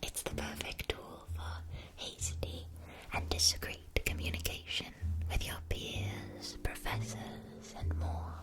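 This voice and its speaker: A woman playing a gentle, attentive magic-shop keeper in a scripted ASMR roleplay, whispering softly with careful articulation. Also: close to an everyday voice. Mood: soothing, attentive. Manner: soft, slow, careful articulation. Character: gentle, attentive female shopkeeper of magical school supplies.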